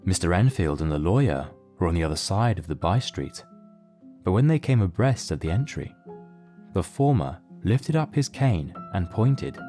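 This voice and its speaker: A man narrating a mystery audio drama from a script, in a deliberate, literary storytelling manner. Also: low voice, very animated, dark tone. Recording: music clearly behind the voice.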